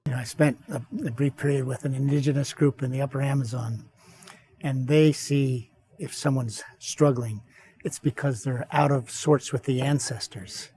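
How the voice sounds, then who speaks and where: A man's voice: mid-range voice, steady tone, normal speed, dark tone. A man on a discussion panel, recounting a personal experience in an anecdotal way. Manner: anecdotal, storytelling. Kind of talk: roundtable discussion.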